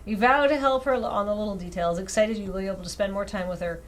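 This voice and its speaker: A woman's voice: medium pitch, quick pace. A woman streaming a game, reading a story aloud expressively and dropping in wry, self-deprecating casual asides. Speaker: streamer reading out a dating sim visual novel. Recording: clearly audible background noise.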